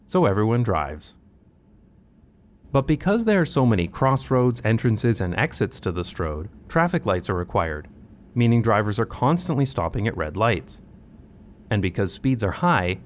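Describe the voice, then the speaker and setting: A man's voice: lowish voice, very animated, moderate pace, a little hiss or hum. A man narrating a video from a script, with a wry and pointed delivery.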